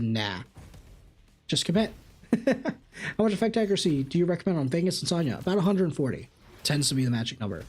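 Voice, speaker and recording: A man live-streaming a game, reading chat questions aloud and answering them in an animated way. His voice is slightly raspy. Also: on the high side.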